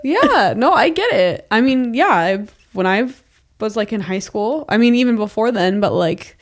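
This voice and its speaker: A woman's voice: mid-range voice, animated, moderate pace. A woman in her late twenties, co-hosting a podcast in casual chat, candid and sprinkled with "like" and the odd swear. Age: 20s. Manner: frequent "like" fillers, candid and profane. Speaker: podcast co-host.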